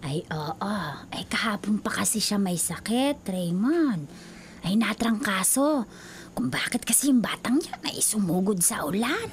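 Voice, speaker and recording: An actress playing a fond, fretful old grandmother in a Filipino radio drama, with a breathy, whispery voice. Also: mid-range voice, lively intonation, measured pace, a little hiss or hum, lightly acted. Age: older.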